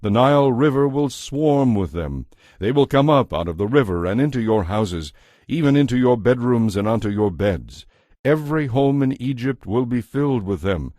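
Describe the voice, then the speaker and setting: A man narrating a dramatized audio Bible as a solemn, measured storyteller. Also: mid-range voice, animated, dark tone, no echo, clean studio sound.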